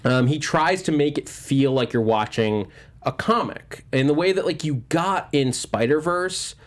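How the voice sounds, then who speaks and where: A man's voice: on the high side, measured pace. Casual, opinionated man on a panel show. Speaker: panelist on a movie news talk show. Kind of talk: casual fan panel discussion.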